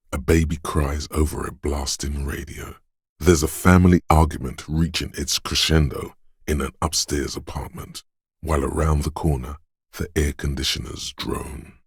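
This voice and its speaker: A brooding inner voice of the senses for a game, slow and hushed as it lingers on smell, touch and sound. The voice sits extremely low, with a husky rasp. It is recorded close and dry in a studio booth. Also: male voice, no room sound, exaggerated voice. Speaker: voice of a game's inner perception skill. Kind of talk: second-person game narration.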